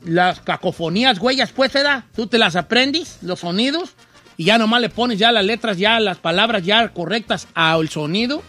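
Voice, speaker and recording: A high-voiced man with a Mexican accent hosts a casual comedy radio show. His delivery is bright and forceful, full of slang and teasing, with soft music playing behind him. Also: expressive, moderate pace. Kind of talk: comedic casual radio banter, reacting to listener messages.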